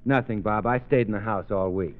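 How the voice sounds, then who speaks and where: A man's voice: lowish voice, a little fast, heavy background noise. An American man announcing and bantering as a sidekick on a 1930s radio show. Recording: muffled old radio broadcast recording. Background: music.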